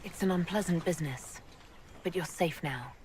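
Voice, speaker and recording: A woman playing a composed, reassuring leader in a fantasy video game, talking in a calm, direct and measured way over background noise. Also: on the low side, natural voice. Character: composed, reassuring female military-religious leader. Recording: clear background ambience noise.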